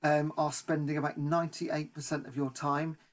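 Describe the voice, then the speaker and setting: A man's voice: a little high, level tone, normal speed. A man co-hosting a football podcast, speaking in a casual, conversational way. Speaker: podcast co-host. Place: remote online recording.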